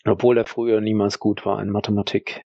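A man narrating an audio drama in a dramatic scripted style, husky and gravelly, with terse, weighty phrases and pauses. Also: lowish voice, flat delivery, warm tone, dry recording, highs cut off.

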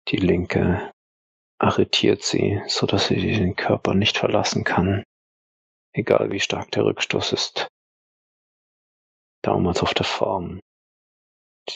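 A man narrating an audio drama in a dramatic scripted style, husky and gravelly, with terse, weighty phrases and pauses. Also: low-pitched, fairly bright tone, no room sound.

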